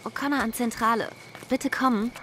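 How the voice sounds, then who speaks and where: An actress playing a brisk, capable woman sheriff in a radio play. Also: a little high, fairly bright tone, a little hiss or hum, close to an everyday voice.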